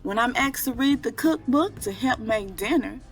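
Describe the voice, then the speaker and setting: A woman reading a picture book aloud to children in an expressive storytelling style, drawing out key words. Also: on the high side, moderate pace, soft background music.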